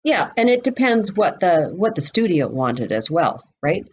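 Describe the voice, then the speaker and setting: A woman's voice: on the low side, very animated, unhurried, a little mellow, slightly rough, highs cut off. A woman speaking as a podcast guest, explaining things conversationally in an expansive, knowledgeable way. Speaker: guest expert on a book podcast. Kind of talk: conversational explanation of literary history.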